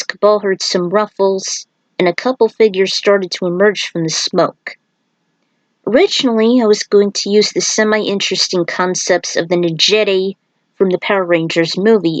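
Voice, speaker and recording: A woman's voice: medium pitch, dry recording, low-quality recording. A woman narrating as a fan fiction writer, with informal, chatty asides. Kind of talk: reading own story, then casual commentary.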